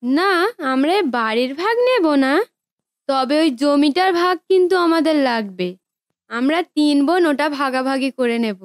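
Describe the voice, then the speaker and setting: A woman's voice: sits high, expressive, moderate pace, no echo, clean studio sound. A woman playing a resentful, scheming married sister in a cartoon family drama, snide and insistent. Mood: demanding.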